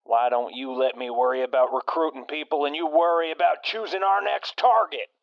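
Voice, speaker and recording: A man's voice: on the high side, brisk, creaky, dry recording. A man playing a cocky, menacing raider boss who taunts and boasts, in dull lo-fi sound. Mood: swaggering.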